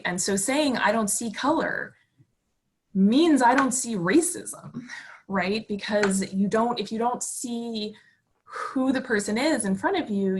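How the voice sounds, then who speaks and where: A woman in her thirties speaking in an articulate, academic way on a video call, often checking in with "right?" and pausing with "um". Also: medium pitch, breathy edge. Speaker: panelist. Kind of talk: explanatory discussion on a video call.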